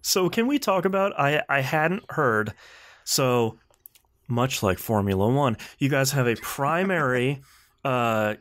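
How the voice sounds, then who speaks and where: A man co-hosting a casual gaming podcast, talking in a blunt, slangy way with animated complaints. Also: medium pitch, slow. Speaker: podcast co-host.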